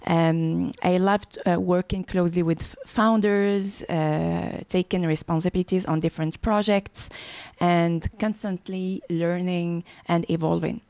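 A woman's voice: fairly low pitch, vocal fry, a little mellow, no echo. A woman, answering interview questions hesitantly with many fillers and restarts. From Morocco. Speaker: podcast guest, startup programs director.

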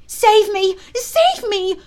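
A woman voicing excitable, simple young boys from a children's adventure storybook, exclaiming breathlessly. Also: sits high, a little slow, voice pushed up. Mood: panicked.

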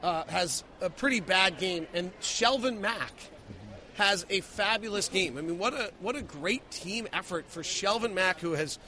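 A man's voice: high-pitched, unhurried, sharp tone. A man giving emphatic, opinionated post-game sports analysis as a radio play-by-play host.

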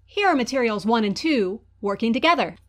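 A woman's voice: on the high side, expressive, a little fast. A woman presenting a quiz segment as a guest, explaining step by step. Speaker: guest Foley artist.

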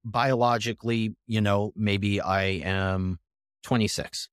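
A man around thirty speaking as a podcast guest, explaining research in a measured, conversational way with pauses between phrases. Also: on the low side, steady tone, clear, resonant tone, dry recording, studio quality. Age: 30s.

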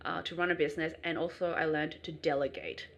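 A woman's voice: low-pitched, steady tone, fast talker, gravelly, dull audio. An Australian woman, a podcast host, being interviewed in a casual chat, laughing often and talking animatedly.

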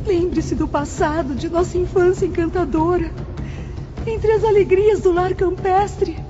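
Anguished mother role: slow, earnest pleading, melodramatic, dull recording with music underneath. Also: extremely high pitch, steady tone. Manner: imploring, tearful, earnest. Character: anguished, pleading wife and mother begging for mercy. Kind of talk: melodramatic radio drama acting. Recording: dull lo-fi radio drama with music.

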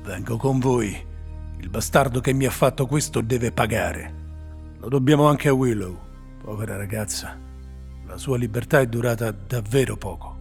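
An actor playing a brooding male warlock swordsman in an Italian audio drama, speaking low and guarded. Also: normal speed, vocal fry, faint music behind, natural voice. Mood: grim resolve.

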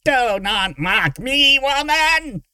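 A man voicing a crazed villain mage in a fantasy audiobook, shrill and whining, with grandiose archaic pronouncements. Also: very animated, extremely slow, pushed and bright, clear, resonant tone, no room sound, clean studio sound, exaggerated voice. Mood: frenzied, screeching.

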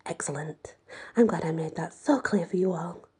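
A woman voicing a spiteful, condescending female teacher in an audiobook, breathy, with drawn-out emphasis. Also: on the low side, dark tone. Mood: scornful.

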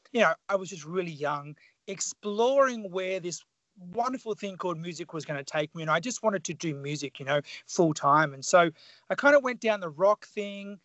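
A man in his forties with an Australian accent, a guest on a radio interview, telling his story in a chatty, animated way full of fillers. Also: high voice, no room sound, dull audio. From Tasmania, Australia. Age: 40s. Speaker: guest musician.

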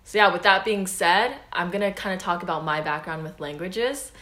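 A teenage girl from the US, co-hosting a podcast in a relaxed, chatty way with lots of "like" and "um". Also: lowish voice, moderate pace, echoey room. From United States. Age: teens. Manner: relaxed, chatty, many fillers like "like" and "um". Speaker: podcast host. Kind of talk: casual chat.

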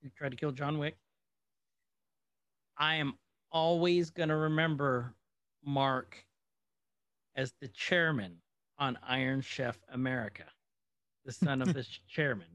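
A man's voice: medium pitch, measured pace, pauses often, a bit bright, dry recording. A man co-hosting a podcast, chatting casually and with opinions about old movies. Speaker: podcast co-host.

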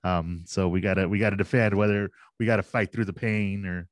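A man's voice: sits low, fairly even intonation, fairly quick, no echo, studio quality. A man in his forties, a sports league director of operations, chatting casually and self-deprecatingly in an interview. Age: 40s. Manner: easygoing, self-deprecating, laughs often.

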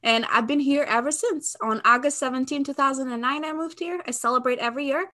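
High, bright Albanian-accented young woman. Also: quick pace. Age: around 30. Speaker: podcast guest, sales expert. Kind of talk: conversational interview answers.

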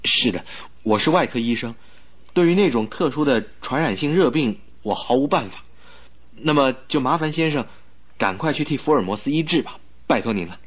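A loyal, slightly anxious doctor in a radio play, acted by a man. He has a medium-pitched voice and speaks with humble, earnest courtesy. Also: lively intonation, normal speed, close to an everyday voice. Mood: humble, pleading. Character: polite, loyal male doctor, anxious and deferential. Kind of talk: Mandarin radio drama acting.

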